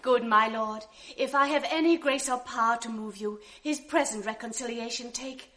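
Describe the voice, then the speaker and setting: Brief: a gracious, persistent young wife, slightly high voice, radio play. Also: female voice, moderate pace. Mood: coaxing. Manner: gentle and earnest, coaxing insistently. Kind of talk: 1980s radio drama acting.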